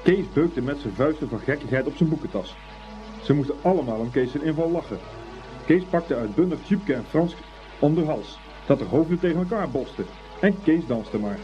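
A man narrating a scripted story for a Dutch radio play in an expressive, unhurried storytelling manner. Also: a little high. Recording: dull old radio recording, faint music.

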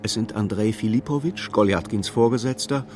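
An actor as the composed storyteller of a radio play, narrating in a measured, matter-of-fact literary style. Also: male voice, fairly low pitch, darkish tone, faint music behind, close to an everyday voice. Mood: composed, observant. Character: composed male storyteller observing a hapless clerk's misadventures.

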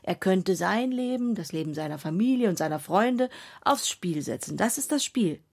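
An actress playing an insistent, earnest wife in a radio play, husky and creaky, coaxing and directing with emphasis. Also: female voice, medium pitch, expressive, normal speed, a little mellow.

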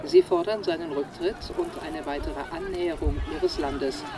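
A newsreader reading a formal report in a high, very animated voice. His tone has a gravelly edge. It comes from an archive news clip with crowd noise behind him. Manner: formal, measured delivery. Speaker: news presenter.

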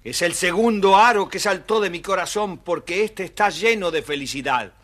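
Royal coachman for a storybook record: cheerful, deferential, emphatic, high and forceful. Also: moderate pace. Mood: devoted, happy. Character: devoted, excitable royal coachman, cheerful and loyal. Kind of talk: children's audio storybook acting.

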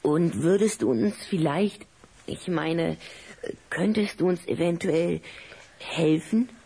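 An actor playing a gloomy, bossy little vampire with a husky, hoarse voice in a children's audio drama. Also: mid-range voice, slow, dark tone, heavily acted. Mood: pleading, hopeful.

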